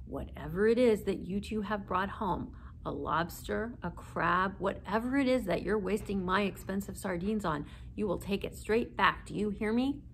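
A woman voicing a stern, sharp mother who scolds firmly in a storybook. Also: medium pitch, normal speed, a little hiss or hum, lightly acted. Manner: sharp, firm.

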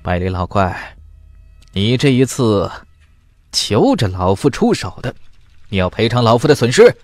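Man voicing a deep blustering old martial-arts master. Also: very animated, measured pace, no echo. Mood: indignant demanding. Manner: indignant, demanding, blustering. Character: grudging, bullying old male water-sect cultivator monster. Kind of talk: audiobook character acting.

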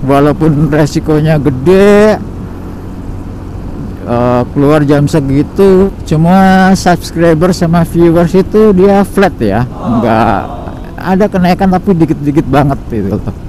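A young man with a Jakarta slang accent chatting to himself on a night motorcycle ride, rambling and relaxed with many fillers, recorded on a helmet camera. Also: fairly high pitch, slow pace, rough recording. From Indonesia. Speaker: motovlogger. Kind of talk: casual solo ride vlog. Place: riding a motorcycle at night on city streets. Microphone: helmet-mounted action camera. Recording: road and traffic noise behind. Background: engine and traffic.

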